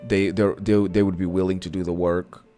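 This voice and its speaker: A man's voice: sits low, flat delivery, moderate pace, dark tone, soft background music. A man giving casual, chatty let's-play commentary in a playful, campy manner, reading bits of dialogue aloud. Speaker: video game streamer.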